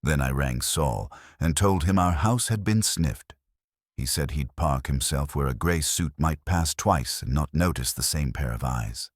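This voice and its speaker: A man's voice: a bass voice, dry recording, studio quality. A man reading a detective story aloud as a scripted audiobook narration.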